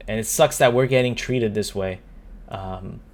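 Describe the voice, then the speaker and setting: A man's voice: lowish voice, moderate pace. A young man talking to camera in an opinion vlog. He is upset and earnest, and he often trips over and restarts his words. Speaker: YouTuber.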